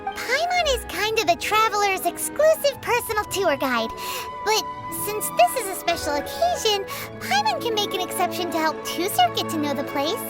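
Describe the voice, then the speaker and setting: A woman voicing a tiny childlike fairy guide in a game, chatty, excitable and easily exasperated, with a squeaky voice. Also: normal speed. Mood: instructive, faltering. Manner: refers to self in third person, squeaky, excitable. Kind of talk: animated game voice acting. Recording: background music over the voice.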